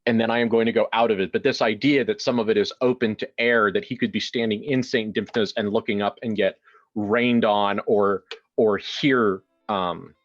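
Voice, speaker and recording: A man improvising narration for a tabletop role-playing game in a halting way, often repeating words as he searches for the next one. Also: fairly low pitch, steady tone, muffled recording. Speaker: tabletop role-player narrating his character. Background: background music.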